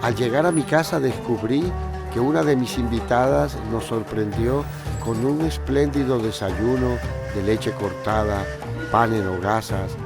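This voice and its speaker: A man hosting a cooking program, narrating in a calm, reflective, poetic way, from Colombia. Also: mid-range voice, measured pace, gravelly, music in the background. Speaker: host and cook. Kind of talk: scripted narration over a cooking show.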